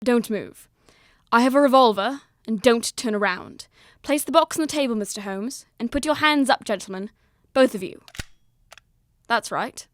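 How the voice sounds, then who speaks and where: A menacing butler played by a woman, coldly courteous in a fairly high voice. The speech is formal and mock-deferential, audio drama style, close and dry. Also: moderate pace, no echo, natural voice. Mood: menacing, smug. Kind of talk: amateur audio drama acting.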